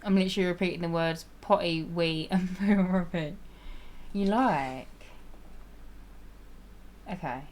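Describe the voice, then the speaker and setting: A woman streaming a game, chatting casually with viewers and laughing often, her voice slightly raspy. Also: lowish voice, a little slow, long pauses, darkish tone. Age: adult with a school-age child. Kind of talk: casual live-stream chat while playing.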